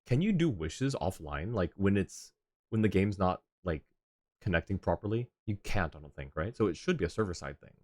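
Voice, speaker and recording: Deep-voiced man livestreaming a game. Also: sing-song, moderate pace, darkish tone, no echo, studio quality. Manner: muttering, thinking aloud in short bursts. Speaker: game live streamer. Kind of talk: casual livestream gameplay commentary, reacting and talking to chat. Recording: game music behind the voice. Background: video game music.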